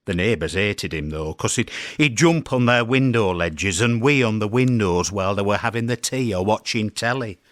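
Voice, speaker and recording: A man's voice: fairly low pitch, normal speed, few pauses, fairly bright tone, no echo, studio quality, lightly acted. A man performing a comic radio monologue as a chatty British cat owner, fond and aggrieved, telling tales in a wry, conversational way. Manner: wry, conversational storytelling with emphatic swoops. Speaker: actor performing a comedy monologue.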